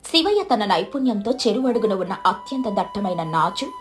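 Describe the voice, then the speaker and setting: A woman narrating a scripted story. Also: medium pitch.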